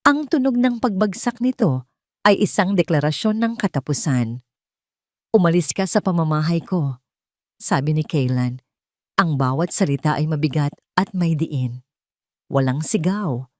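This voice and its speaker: Low-voiced woman, solemn dramatic storyteller. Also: expressive, measured pace, a little mellow, dry recording, clean studio sound. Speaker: narrator. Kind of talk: dramatic scripted story narration.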